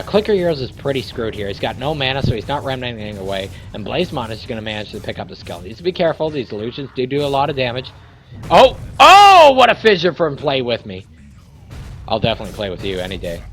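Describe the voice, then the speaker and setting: A man doing casual play-by-play commentary on a video game match, excitable and rambling, swearing now and then, his voice a little raspy. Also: on the low side. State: voice getting raspy. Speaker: game caster. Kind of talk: amateur esports play-by-play commentary.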